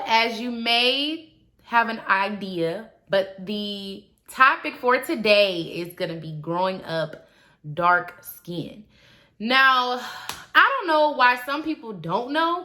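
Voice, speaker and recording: A woman, talking casually and animatedly to her viewers, swinging between loud asides and quiet trailing remarks. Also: medium pitch. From Milwaukee, Wisconsin. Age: 20s. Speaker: vlogger. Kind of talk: casual confessional vlog.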